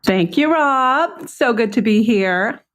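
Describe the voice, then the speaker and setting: A woman's voice: fairly high pitch, animated, slow pace, fairly bright tone. Middle-aged woman with a Boston accent. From Boston, United States. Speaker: podcast guest. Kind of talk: conversational personal storytelling.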